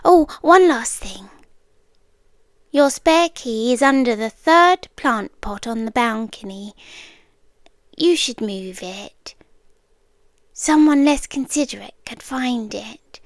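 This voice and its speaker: Slow, hushed British yandere girlfriend, acted by a woman. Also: on the high side, expressive, no echo. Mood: tender, ominous. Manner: hushed, deliberate, softly menacing, tender. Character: soft-spoken obsessive girlfriend stalker, calm, coolly intense, yandere. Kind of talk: intimate girlfriend roleplay ASMR, half whispered.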